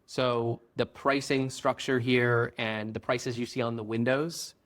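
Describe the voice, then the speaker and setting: A man's voice: mid-range voice, level tone, unhurried, a bit bright. A man hosting an interview, asking questions and summing up the guest's points in a friendly way. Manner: friendly, sums up and checks facts. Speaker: podcast host.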